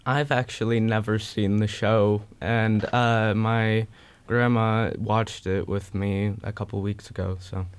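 A man's voice: lowish voice, flat delivery, takes it slowly, clear, resonant tone. A young man, an actor, chatting casually in an interview.

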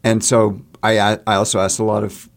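A man's voice: fairly low pitch, level tone, a little fast. A man explaining theater work in a conversational interview, thinking aloud and often rephrasing mid-sentence. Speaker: theater artist interviewed about a stage production.

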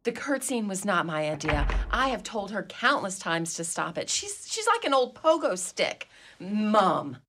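A woman plays an imperious lady of a grand old house in a comic radio play. Her delivery is formal and haughty. The pitch swings widely and theatrically around a middling female register. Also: big pitch swings, a little slow, a bit bright, a little hiss or hum. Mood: scolding, imperious. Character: dignified, imperious wealthy mistress of an old mansion. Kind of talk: comedy radio play acting.

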